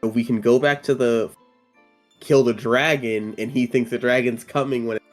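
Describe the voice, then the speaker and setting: A man playing a tabletop game, working through the group's strategy out loud with a lot of hesitation. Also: medium pitch, fairly even intonation, normal speed, faint music behind. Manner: hesitant, thinks aloud with fillers and restarts. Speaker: player. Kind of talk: casual chat at a tabletop game.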